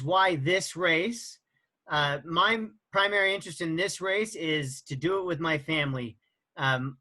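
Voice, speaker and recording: Guy in his 40s, bright clear voice, a bit high, lots of ums and restarts. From Idaho, USA. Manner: halting, many fillers and restarts. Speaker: team captain. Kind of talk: casual team introduction.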